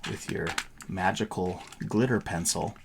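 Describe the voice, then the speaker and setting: A man's voice: sits low, measured pace, very noisy. A middle-aged man giving a casual, playful, rambling explanation in a show-and-tell vlog style. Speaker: vlogger.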